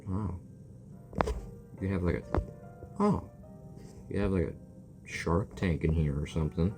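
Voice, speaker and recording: Man, low voice, casual gameplay reactions, blunt, sarcastic, faint music behind. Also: a little slow, gravelly. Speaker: gaming video creator. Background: faint game music.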